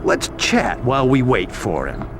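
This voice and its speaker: Wisecracking cop, game cutscene; animated, mid-pitched, casual sarcasm over clearly noisy ambience. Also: male voice, a little slow. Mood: sardonic. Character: sardonic male police detective interrogating a suspect. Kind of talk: video game cutscene acting.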